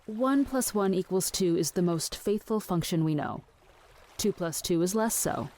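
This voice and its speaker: An earnest woman researcher in an audio drama. Her voice sits a little low for a woman. She lays out fringe theories in a measured, pedantic, matter-of-fact manner. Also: expressive, warm tone. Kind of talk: scripted audio drama acting.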